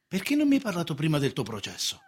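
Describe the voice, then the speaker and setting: Animated, high-pitched domineering uncle. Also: male voice, quick pace, slightly rough, exaggerated voice. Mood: agitated, reproachful. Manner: emphatic, scolding, theatrical. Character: agitated, domineering middle-aged uncle from the country. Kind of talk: theatrical radio drama.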